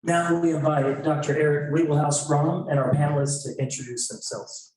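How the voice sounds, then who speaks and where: A man co-facilitating an event, reading prepared discussion guidelines in measured, short phrases. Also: on the high side, fairly even intonation, a little mellow. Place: indoor event room. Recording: very echoey room, distant mic.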